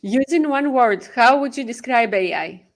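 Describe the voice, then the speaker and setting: A woman hosting a live podcast interview, speaking briskly with frequent filler pauses. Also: on the high side, lively intonation. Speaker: podcast host.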